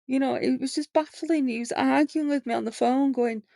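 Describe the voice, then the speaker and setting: A young woman in her late twenties hosts a podcast, telling her own story candidly in a loose, rambling way full of fillers. Her fairly high voice has a gravelly fry and stays flat in pitch. The sound is close and dry. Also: moderate pace, no echo. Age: 20s. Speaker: podcast host. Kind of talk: personal monologue.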